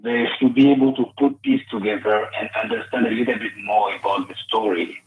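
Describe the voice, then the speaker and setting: A man from Ivory Coast with a French-speaking West African accent, speaking French as his first language, talks about his book as a radio guest, pausing often to find words. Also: fairly low pitch, steady tone. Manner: halting, searching for words with many fillers. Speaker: author guest. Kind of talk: radio interview by phone. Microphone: headphone mic over a phone line. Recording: tinny phone line.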